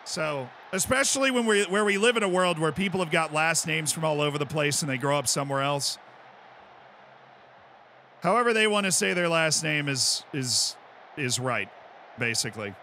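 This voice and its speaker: An American man chatting on a live stream as he plays, with a fairly high voice that has a bright, sharp edge. He explains in long, animated tangents and keeps tossing in "right?" to the chat. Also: moderate pace, slight background noise. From United States. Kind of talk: casual chat while playing.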